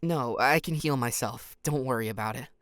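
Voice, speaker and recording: An actor playing an earnest, guarded, sharp-minded teenage boy in an audio drama. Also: medium pitch, moderate pace, no room sound, clean studio sound, lightly acted. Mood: dismissive.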